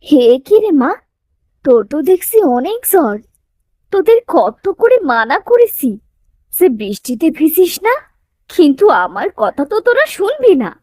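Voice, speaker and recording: A woman's voice: extremely high pitch, big pitch swings, moderate pace, a little mellow, no echo. A woman voicing a caring, fussing village mother in a cartoon story, scolding yet tender. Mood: worried scolding.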